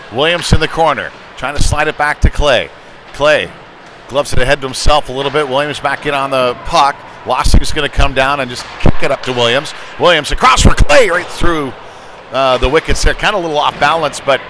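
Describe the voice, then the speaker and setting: Male hockey commentator over noisy rink. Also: a little high. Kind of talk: live sports commentary. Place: indoor ice rink during a game. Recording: noisy arena, clatter and hum behind.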